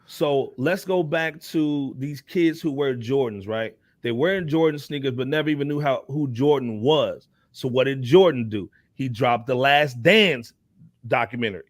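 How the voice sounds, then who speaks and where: A man's voice: mid-range voice, normal speed, dry recording, studio quality. An American man hosting a casual hip hop panel discussion, talking emphatically in slang and repeating phrases. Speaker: talk show panel host.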